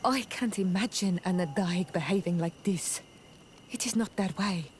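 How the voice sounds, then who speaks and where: An actress playing a thoughtful, serious islander in a video game, earnest and reflective. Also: female voice, medium pitch, normal speed, slight background noise, lightly acted. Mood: grave, puzzled. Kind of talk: video game cutscene acting.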